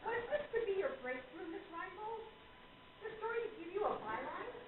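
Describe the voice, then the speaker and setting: A woman's voice: extremely high pitch, expressive, a little fast. A woman playing a wily, indignant spirit medium in a radio play, with a dramatic, declamatory delivery. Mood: sly accusing. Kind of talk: stage radio play acting. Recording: echoey, noisy, tinny, far from mic.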